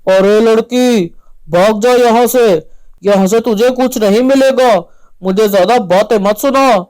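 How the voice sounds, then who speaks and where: A woman's voice: a little high, unhurried, darkish tone, natural voice, poor audio. A woman voicing a proud, grumpy talking tree in a children's story, with a haughty, curt manner. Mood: angry, dismissive.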